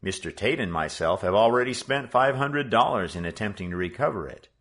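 A man reading a story aloud, voicing a kindly, shrewd middle-aged businessman speaking to his son in a measured, dry way. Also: lowish voice, expressive, a bit bright.

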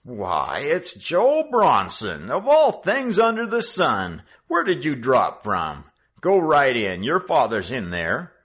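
A man reading a story aloud, voicing an astonished male head clerk who exclaims loudly in a raised voice. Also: medium pitch, huge pitch swings, slow pace, few pauses, slightly rough, pitched above the performer's own voice, heavily acted. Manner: loud and exclaiming.

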